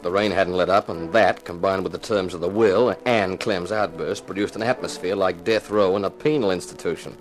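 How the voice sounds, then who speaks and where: An actor playing a tough, wry insurance investigator, narrating in 1950s radio detective style. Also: male voice, sits low, fairly even intonation, normal speed, hardly any pauses, faint music behind, close to an everyday voice, rough recording. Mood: brooding, wry. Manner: wry, laconic, dramatic storytelling. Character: tough hard-boiled male insurance investigator.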